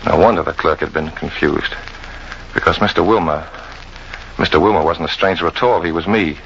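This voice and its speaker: An actor playing a world-weary American jungle guide, wry and tough, in hard-boiled old radio drama style. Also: male voice, extremely low pitch, fairly quick, a bit bright, exaggerated voice. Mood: stunned. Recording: muffled old radio recording, clear noise.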